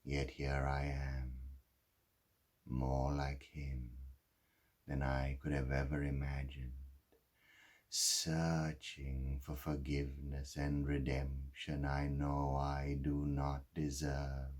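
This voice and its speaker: A man performing a dramatic monologue as a guilt-ridden husband, speaking in a halting, confessional way with heavy pauses. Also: extremely low pitch, completely flat delivery, darkish tone. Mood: regretful, subdued. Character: guilt-ridden husband who caused a fatal drunk-driving crash.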